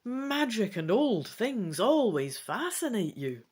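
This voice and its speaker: A woman's voice: a little high, big pitch swings, takes it slowly, darkish tone, husky. A woman reading a fantasy gamebook aloud as a dramatic narrator. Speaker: gamebook reader.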